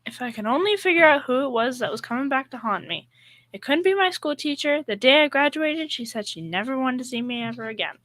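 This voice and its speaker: A woman's voice: high voice, lively intonation, brisk, fairly bright tone. A young female middle school student playing a naive, dim, sweet secretary in an old-time radio comedy, with an earnest, guileless manner. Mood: earnest, puzzled.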